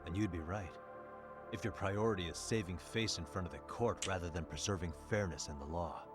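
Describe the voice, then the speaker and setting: A man voicing a game detective with a very low voice and dry, blunt delivery. He cuts through arguments with sardonic calm. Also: a little fast, over music, close to an everyday voice.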